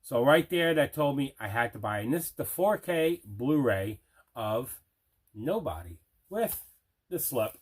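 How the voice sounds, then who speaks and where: A man's voice: mid-range voice, very animated, unhurried, husky. Man casually showing off his movie collection. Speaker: host of a movie collection unboxing show. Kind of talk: casual unboxing chat.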